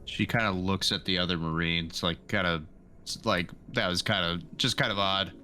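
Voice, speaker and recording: A man's voice: low-pitched, fairly even intonation, normal speed, sharp tone, faint music behind. A man playing a tabletop roleplaying game, chatting casually and thinking aloud as he describes what his character does.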